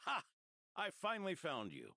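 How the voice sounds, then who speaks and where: An actor playing a kindly, protective old grandfather in a video game, with a husky voice. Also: high-pitched, wildly swooping pitch, moderate pace, pushed and bright, dry recording, clean studio sound, heavily acted. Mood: kindly, chiding.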